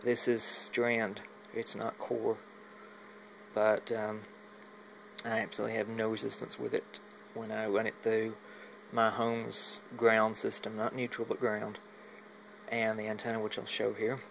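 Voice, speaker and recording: Low, flat-voiced man with background hum. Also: unhurried, creaky, rough recording. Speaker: hobbyist demonstrating a home radio antenna setup. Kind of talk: casual unscripted walkthrough demo. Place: at home, moving between indoors and outside. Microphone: handheld phone while filming.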